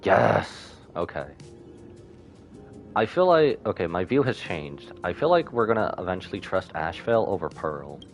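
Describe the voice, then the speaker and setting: A male streamer comments casually on a game. His voice is low and swings widely in pitch, expressive and slangy. Faint music plays behind him. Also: moderate pace, creaky. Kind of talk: casual live reaction commentary.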